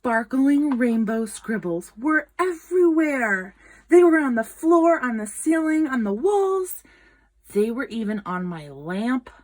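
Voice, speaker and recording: A woman reading a children's picture book aloud in an expressive, dramatic storytelling manner. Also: high voice, takes it slowly. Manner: expressive, dramatic, with gasps and whispered asides.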